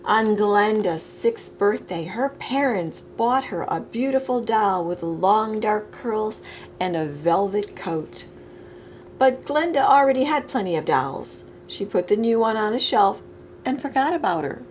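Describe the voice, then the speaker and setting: A woman reading a children's picture book aloud expressively, with deliberate phrasing, as a storytime reader. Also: medium pitch, expressive, darkish tone, slight background noise.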